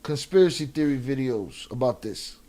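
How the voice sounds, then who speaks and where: A man commenting live on a video, sarcastic and animated, with many restarts. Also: mid-range voice, moderate pace. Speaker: reaction streamer.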